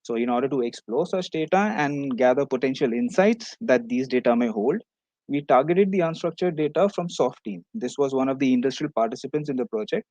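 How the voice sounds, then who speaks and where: A man's voice: mid-range voice, expressive, a little fast, a little mellow, no echo. A man giving a prepared academic presentation as a researcher at an online conference.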